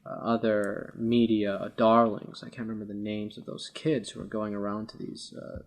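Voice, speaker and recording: Low, creaky-voiced man debating politics. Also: flat delivery, moderate pace. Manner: hesitant, wordy, formal vocabulary. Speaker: podcast co-host debating politics.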